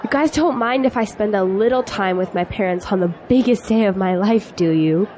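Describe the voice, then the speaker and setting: A woman's voice: mid-range voice, moderate pace, a little mellow, natural voice. An actress playing a spirited young female graduate in an audio drama, teasing yet earnest. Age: young adult. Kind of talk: scripted audio drama dialogue. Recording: faint noise, outdoor crowd ambience.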